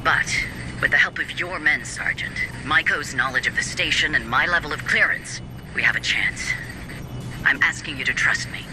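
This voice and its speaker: Man playing a calculating corporate director, higher-pitched, noisy. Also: lightly acted. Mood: measured, grave. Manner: measured, formal, coolly condescending. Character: smooth, calculating, formal male corporate director. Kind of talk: video game voice acting.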